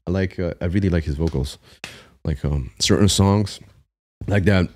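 A man in his thirties reacting to a live concert on his stream, talking casually with slang and bursts of excitement, recorded on a studio mic in a small room. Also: low-pitched, moderate pace. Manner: excitable, slangy, breaks into laughs and hums. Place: small room with blue lights, gaming chair. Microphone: studio mic on arm, headphones.

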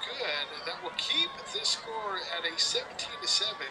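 A man calling play-by-play for a high school football game, quick and excited on big plays. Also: crowd noise, noisy background. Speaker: play-by-play announcer. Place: outdoor high school football stadium at night.

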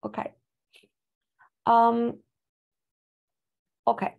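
A woman's voice: on the high side, completely flat delivery, very slow, vocal fry, phone-quality sound. A young woman, an English speaker, giving a webinar talk from slides over a video call, thoughtful with many fillers and restarts. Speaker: academic speaker. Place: home room indoors. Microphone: video call webcam.

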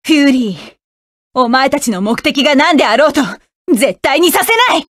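A woman's voice: high voice, expressive, normal speed, fairly bright tone, dry recording, studio quality. An actress playing a fierce, vengeful young woman mecha pilot, barking clipped, commanding battle calls in a game. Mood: determined. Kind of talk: video game combat voice lines.

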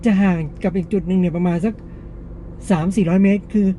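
A man's voice: high voice, steady tone, fast, mellow, a little hiss or hum. A man hosting a casual gadget test, explaining things step by step to viewers in a polite way. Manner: explanatory, frequent polite particles. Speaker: gadget review host.

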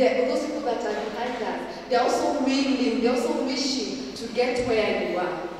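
Young female preacher, Kenyan English accent, exhorting, slowing for emphasis; handheld mic, long church reverb, faint ambience. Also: a little high, darkish tone, a little hiss or hum. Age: young adult. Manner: earnest exhorting, slows for emphasis, gestures while speaking. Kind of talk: sermon. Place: church hall, indoors. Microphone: handheld mic, camera from a distance. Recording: echoey hall from far off.

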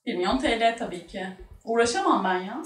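A woman's voice: on the high side, animated, brisk, recorded from a distance. A woman, chatting casually as a guest on a talk show. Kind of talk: casual chat, game-show answers. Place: small indoor studio set with curtain. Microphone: single table microphone at a distance. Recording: roomy, voice away from the mic.

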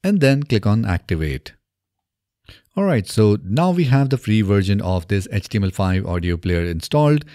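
A man in his thirties presenting a software tutorial step by step in a methodical way, recorded close on a desk microphone in a small home studio. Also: lowish voice, very animated, moderate pace, no echo. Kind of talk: scripted software tutorial narration. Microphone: close desk microphone on boom arm.

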